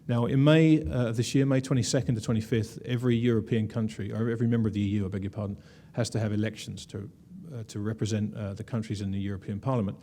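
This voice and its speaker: A man's voice: fairly low pitch, steady tone, quick pace, few pauses, mellow. A man presenting slides at a conference, wry and conversational, with frequent "uh" fillers. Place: conference room.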